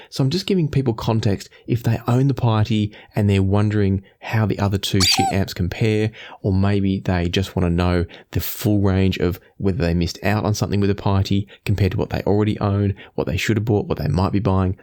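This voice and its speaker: Guy with a lowish voice doing a casual gear review, chatty and explains everything thoroughly. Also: darkish tone. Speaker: product reviewer, host.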